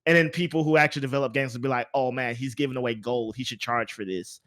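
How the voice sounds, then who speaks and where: A man hosting a casual gaming podcast, talking in an animated, rambling way and repeating phrases for emphasis. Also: mid-range voice, quick pace. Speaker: podcast host.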